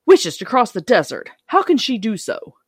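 A woman voicing a thoughtful, loyal and practical scarecrow in a storybook, with a measured, reasoning manner. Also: fairly high pitch, sing-song, slightly rough, no echo, studio quality, voice pushed up. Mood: inquiring. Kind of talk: storybook character reading.

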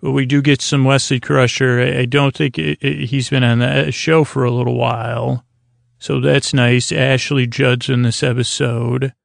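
A man hosting a bedtime podcast, rambling in a slow, languid, gentle and reassuring way with long, meandering pauses. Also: medium pitch, level tone, creaky, no room sound, clean studio sound. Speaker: podcast host.

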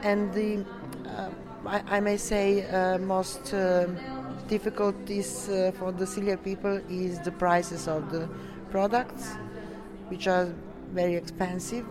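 A woman from Serbia with a Serbian accent, answering interview questions in halting, non-native English as a delegate. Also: medium pitch, flat delivery, over music. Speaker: coeliac association delegate being interviewed.

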